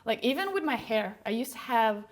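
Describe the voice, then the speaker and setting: A woman's voice: fairly high pitch, big pitch swings, brisk. Chatty young woman. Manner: candid, with many "like" fillers. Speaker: podcast guest. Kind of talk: casual conversation.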